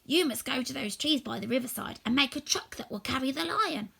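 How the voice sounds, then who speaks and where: Casting: a woman as helpful storybook scarecrow, earnest, persuasive, fairly high with lively swings. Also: brisk, gravelly, slightly rough, heavily acted. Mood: organising. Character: helpful, persuasive scarecrow with straw-stuffed head. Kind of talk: storybook character voice.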